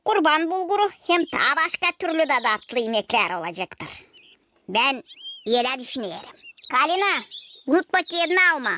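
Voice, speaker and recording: A woman's voice: high-pitched, big pitch swings, a little fast, bright, forceful tone, slight background noise, full character voice. A woman voicing a chatty, cheeky, childlike little animal in a children's cartoon. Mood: curious, excited.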